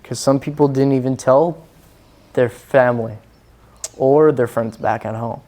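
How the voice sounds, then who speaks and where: A man who grew up in Singapore answers interview questions in an earnest, meandering way. His pitch sits in a mid range, and his sentences trail off and restart, padded with small fillers. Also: fairly even intonation, a little slow, mellow. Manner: earnest, rambling, with many fillers. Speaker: guest. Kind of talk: casual interview chat.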